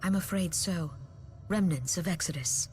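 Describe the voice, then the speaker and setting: A woman playing a dignified, mature head of government in a video game, with composed, formal and measured speech. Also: on the low side, darkish tone, natural voice. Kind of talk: video game cutscene acting. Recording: faint background music.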